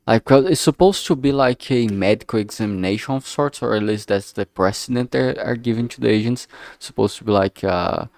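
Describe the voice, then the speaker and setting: A man's voice: mid-range voice, fairly quick, vocal fry, few pauses, a little mellow, dry recording. A man thinking aloud casually as he works, speaking hesitantly and often trailing off mid-thought. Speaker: streamer making a visual novel.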